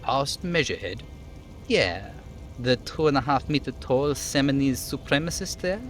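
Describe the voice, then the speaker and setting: A man's voice: mid-range voice, animated, moderate pace, fairly bright tone, slight background noise, pitched above the performer's own voice, lightly acted. A man voicing a police detective in a video game, asking questions in a hesitant, matter-of-fact way. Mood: doubtful. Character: male police detective, inquisitive and awkwardly evasive.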